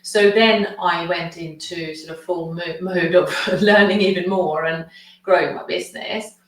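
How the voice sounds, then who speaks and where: A middle-aged woman from Sweden, leading a class as a coach in an earnest, explanatory, slightly halting way. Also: lowish voice, steady tone, a bit bright. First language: Swedish. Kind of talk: informal presentation to an online class. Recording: very echoey room, distant mic.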